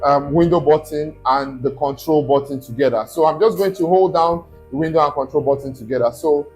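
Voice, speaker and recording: A man's voice: a little high, a little fast, darkish tone, faint music behind. A man, a study abroad consultant, walks viewers through a screen-recorded tutorial step by step and keeps checking in with "okay?".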